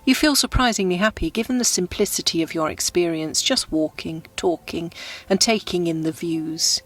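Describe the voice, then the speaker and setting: Low-pitched woman guiding meditation over music. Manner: gentle, unhurried, descriptive storytelling. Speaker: guided meditation host. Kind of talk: scripted guided meditation narration. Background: background music.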